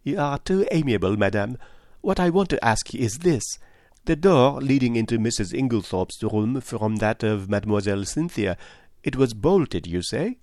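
A man's voice: medium pitch, animated, normal speed, a little mellow, no echo, clean studio sound, lightly acted. A man voicing a polite, inquisitive foreign detective in an audiobook, courteous and formally phrased as he probes. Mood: probing.

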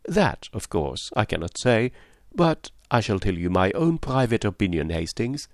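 A man's voice: lowish voice, big pitch swings, normal speed, no echo, clean studio sound. A man voicing a clever, placid older foreign detective in an audiobook, with a precise, teasing, philosophical manner. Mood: confiding. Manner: precise, philosophical, sprinkled with French endearments. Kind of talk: audiobook character dialogue.

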